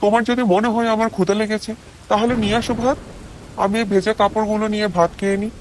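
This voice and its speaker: A man's voice: high-pitched, steady tone, fast talker, a little hiss or hum, close to an everyday voice, poor audio. A man playing a blunt, short-tempered young shopkeeper husband in a cartoon. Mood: calm. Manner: scolding, blunt. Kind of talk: cartoon dubbing.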